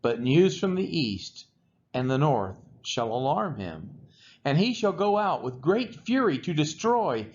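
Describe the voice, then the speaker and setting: A man's voice: a little high, darkish tone. A man reading scripture aloud in a solemn, scripted style, phrase by phrase with emphatic stresses. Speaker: scripture reader. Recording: dull lo-fi recording with faint noise.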